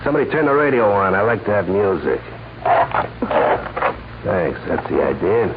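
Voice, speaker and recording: Casting: smooth, deceptively polite radio gangster, low register, hissy vintage recording. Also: male voice, sing-song, moderate pace. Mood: coolly menacing. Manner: habitual nervous throat-clearing, smooth menacing politeness. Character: cunning, smooth-talking gunman, slight dandy and ladies' man. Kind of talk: 1940s radio crime acting. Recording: muffled, noisy 1940s radio recording.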